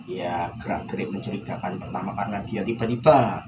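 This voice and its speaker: A man's voice: deep voice, fairly quick, echoey room. Young male student, noisy recording. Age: young adult. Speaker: university student, counselling client. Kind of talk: casual conversation. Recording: clear background noise, room ambience.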